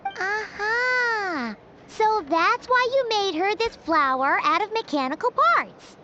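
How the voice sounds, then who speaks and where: A woman's voice: very high voice, animated, takes it slowly, dull audio, noisy background, exaggerated voice. A woman voicing a small, chirpy, encouraging companion sprite in a video game. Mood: curious, surprised. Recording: game dialogue with music behind, dulled. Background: game music.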